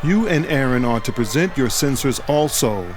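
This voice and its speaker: A man's voice: medium pitch, animated, normal speed, natural voice. A man voicing an old prophet who speaks earnestly and warns the people. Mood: solemn. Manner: earnest, warning. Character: solemn old male prophet and leader.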